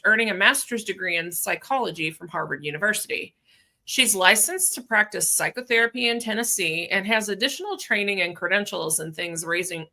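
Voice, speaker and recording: A middle-aged woman hosting a podcast interview over a video call from her home office, talking with lively enthusiasm. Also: medium pitch. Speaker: podcast host. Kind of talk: upbeat interview chat.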